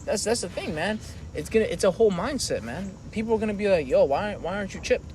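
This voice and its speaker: A man preaching outdoors on a city street, animated and slangy, calling listeners man and brother. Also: sits high, quick pace, slight background noise. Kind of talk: impassioned outdoor street teaching.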